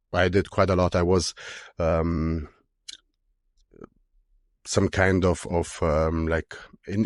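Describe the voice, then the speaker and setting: Casting note: deep-voiced Austrian German-accented man in his thirties, halting and filler-heavy, very dry close sound. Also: darkish tone, dry recording. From Romania, raised in Austria. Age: 30s. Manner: hesitant, many fillers and restarts. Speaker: guest, strongman athlete. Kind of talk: casual interview answers.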